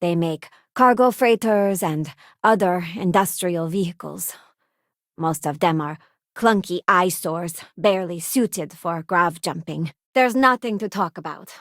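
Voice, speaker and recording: Idealistic young space settler, video game companion: friendly, earnest, expressive, very dry studio sound. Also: female voice, fairly low pitch, a little slow, no room sound. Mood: earnest opinion. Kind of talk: scripted video game character voice lines.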